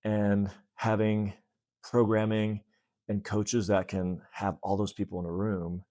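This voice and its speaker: Guy late 50s, deep voice, dry sound, chatting earnestly about his work, stops and restarts a lot. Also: flat delivery, a little slow, warm tone, no room sound. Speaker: fitness center owner, interview guest. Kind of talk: casual conversational interview.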